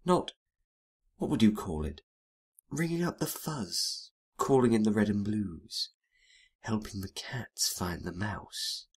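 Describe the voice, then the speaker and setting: A man voicing a sultry, teasing female outlaw in a noir detective drama, coy and unhurried. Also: on the low side, animated, darkish tone, slightly rough, heavily acted. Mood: playful.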